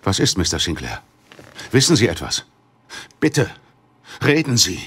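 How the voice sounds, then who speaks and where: An actor playing a middle-aged, worried businessman in an audio drama, speaking in a formal, measured way. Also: sits low, very animated, fairly bright tone, breathy edge, heavily acted. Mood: anxious. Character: grave, worried Chinese businessman, father of missing daughter. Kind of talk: German radio play dialogue.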